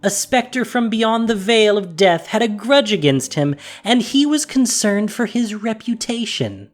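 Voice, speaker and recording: Narrator, scripted fantasy story, mid-pitched, lively intonation. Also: unhurried, soft background music.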